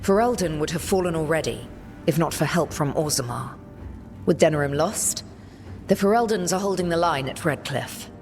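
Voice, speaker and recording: An actress playing a weary, resolute woman leader in a video game, speaking in a measured, grave, commanding way. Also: low-pitched, expressive, a little mellow, big-room sound, soft background music. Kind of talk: video game cutscene acting.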